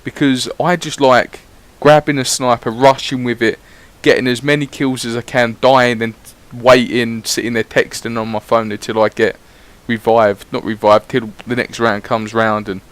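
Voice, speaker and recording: A man's voice: medium pitch, flat delivery, moderate pace, hardly any pauses. A man giving casual, rambling voice-over commentary on his gameplay, swearing freely as he chats. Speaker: gaming YouTuber.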